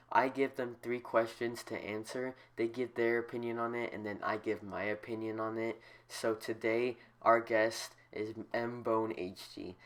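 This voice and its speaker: A congested man hosting a casual soccer talk show, explaining tactics and giving opinions at length. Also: medium pitch. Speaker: host.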